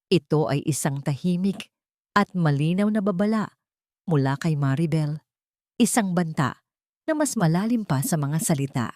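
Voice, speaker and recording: A woman narrates a dramatic audiobook. Her voice is slightly low and very mellow, with soft edges. The recording is dry, with no room sound. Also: animated, moderate pace, pauses often, no room sound. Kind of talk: scripted dramatic story narration.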